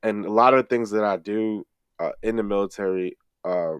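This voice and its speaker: A middle-aged man with a fairly low voice talks through his answers in a friendly, reflective way. He halts and restarts often, and the recording is dry and close with no room sound. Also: clean tone, dry recording. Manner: rambling, halting, many restarts and fillers. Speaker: guest, fellow interviewer and streamer. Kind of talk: casual interview answers.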